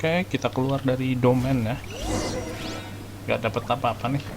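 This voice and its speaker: A man's voice: mid-range voice, a little fast, music in the background. A man with an Indonesian accent giving casual gameplay commentary as a streamer. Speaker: game streamer. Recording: noisy stream with game audio. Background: game sounds.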